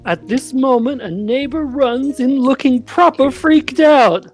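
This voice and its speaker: A woman's voice: high voice, measured pace, a little mellow. Woman narrating over faint music. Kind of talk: comic radio play narration.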